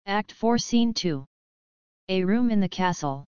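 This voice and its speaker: A woman's voice: mid-range voice, lively intonation, a little slow, a little mellow, clean tone, dry recording. A woman narrator reading stage directions for an audio play. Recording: dull lo-fi sound, music behind.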